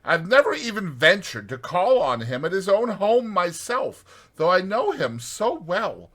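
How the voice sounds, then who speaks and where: A man's voice: high-pitched, very animated, measured pace, fairly bright tone, pitched above the performer's own voice, exaggerated voice. A man voicing a hearty, evasive Water Rat from a storybook, speaking in an emphatic, insistent way. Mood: alarmed.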